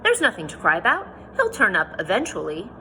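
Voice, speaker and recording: A woman's voice: mid-range voice, wildly swooping pitch, fairly quick, sharp tone, slight background noise, heavily acted. A woman putting on a genial older frontier man. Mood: comforting.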